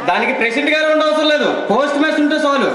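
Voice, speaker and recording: A man plays a cheeky village henchman in a stage drama, very high-voiced and quick. He pesters and declaims loudly over echoing speakers, with music and a crowd behind. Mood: mocking, declamatory. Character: cheeky talkative village henchman, needling his bosses. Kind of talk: Telugu stage play acting.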